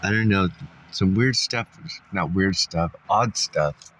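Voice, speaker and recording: A man with a very low voice talks on in a loose, wandering monologue, full of ums and restarts. He sounds congested from dust and clears his throat now and then. Faint background ambience sits under the recording. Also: takes it slowly, a bit bright, slight background noise, rough recording. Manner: meandering, thinks aloud with many fillers. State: congested, coughing. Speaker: vlogger. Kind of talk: rambling casual monologue. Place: sitting in a dusty spot, maybe in a car.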